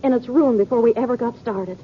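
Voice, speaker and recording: A woman playing an anxious, gently scheming stage actress in an old-time radio western, dramatic and coaxing. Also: on the high side, brisk, natural voice. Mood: distressed. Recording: muffled, noisy vintage radio recording.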